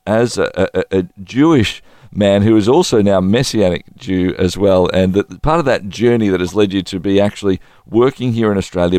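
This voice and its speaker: Radio host, male, low voice, friendly and halting, dry studio sound. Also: a little mellow, clean tone, no echo. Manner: friendly, halting, many restarts. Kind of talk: radio interview.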